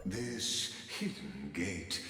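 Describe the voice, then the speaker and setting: An actor playing an ancient imprisoned male divine being in a video game, menacing and smugly patient, with a theatrical, deliberate, taunting delivery. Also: deep voice, animated, noisy background, exaggerated voice. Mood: solemn, commanding. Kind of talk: dramatic video game voice acting. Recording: very echoey hall reverb under music.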